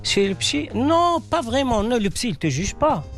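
A man's voice: very high-pitched, sing-song, moderate pace, a bit bright, faint music behind. A man from Tangier, Morocco, whose first language is Arabic, answering interview questions in French with many fillers and restarts. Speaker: interview guest, writer.

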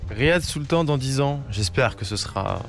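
Brisk male interviewer, mid-pitched, quick prompts over soft music. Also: lively intonation, vocal fry. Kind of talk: quick-fire interview questions.

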